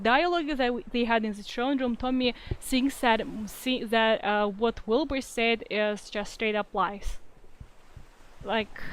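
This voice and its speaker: Young woman w/ a bit of a russian accent, kinda high voice, lots of uh and like, chatting on stream. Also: a little hiss or hum, rough recording. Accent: Russian-influenced. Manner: hesitant, many fillers and restarts, reads comments aloud. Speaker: fanfiction writer answering readers. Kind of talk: casual livestream Q&A.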